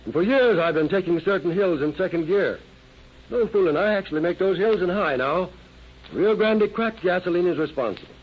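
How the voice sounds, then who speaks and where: A man's voice: high-pitched, expressive, fairly quick. A man giving an earnest, folksy scripted testimonial as an actor in a 1930s radio advertisement. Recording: dull old radio recording, faint noise.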